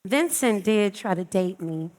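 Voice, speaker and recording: An actress playing a self-important, gossipy cousin, chatty and emphatic in a theatrical stage style. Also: female voice, mid-range voice, lively intonation, a little slow, a little mellow, lightly acted. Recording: reverberant hall, stage performance.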